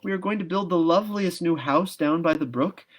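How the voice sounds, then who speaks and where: A young schoolgirl from a storybook as a man plays her, pitched high and insistent. She pleads mournfully with her best friend, coaxing in a tearful, breathless way. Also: fairly quick, natural voice.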